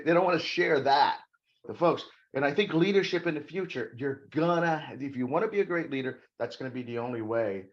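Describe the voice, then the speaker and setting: A middle-aged man from Brazil speaks in a fairly high voice, emphatic and a little preachy as he lays out his ideas. His voice comes through muffled, lo-fi call audio. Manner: emphatic, preachy, self-deprecating jokes. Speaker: corporate security guest. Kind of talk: podcast interview conversation.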